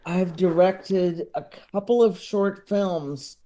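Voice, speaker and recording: A man with a soft, mellow voice chats casually as a podcast guest. He takes his time, halting often, and sounds enthusiastic yet self-deprecating, tending to chuckle as he talks. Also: sits high.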